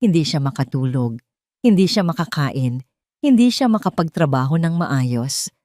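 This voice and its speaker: A woman storyteller delivers scripted dramatic narration for a romance audio story. Her voice sits a little low for a woman. The recording is dry, with no room around it. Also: darkish tone, dry recording.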